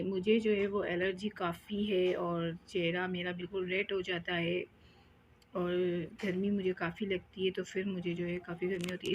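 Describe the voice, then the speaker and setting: A woman's voice: medium pitch, creaky, a little hiss or hum, poor audio. A young woman chatting casually as she vlogs her housework at home, rambling with many fillers. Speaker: vlogger. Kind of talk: casual home vlog narration.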